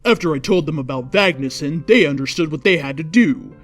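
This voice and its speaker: A woman's voice: sits low, animated, fairly quick. Theatrical woman reading a story over faint music. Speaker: fan fiction reader, storyteller. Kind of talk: dramatic reading of bad fan fiction. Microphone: microphone without pop filter.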